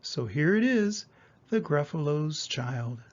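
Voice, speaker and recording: A man's voice: on the high side, very animated, slow, dull audio. An older man, a grandfather, reading a children's story aloud expressively.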